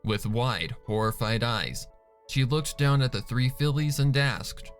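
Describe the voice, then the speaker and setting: A man's voice: medium pitch, measured pace, faint music behind. A man reading a dramatic scripted story as its narrator. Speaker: fan fiction narrator.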